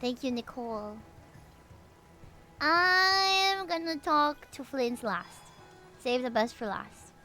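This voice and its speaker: A woman's voice: high-pitched, animated, takes it slowly, long pauses, pushed and bright. A woman livestreaming a video game, chatting casually with viewers in an exclamatory, chatty way. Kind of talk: casual chat with viewers, reading game dialogue aloud. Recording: music behind the voice.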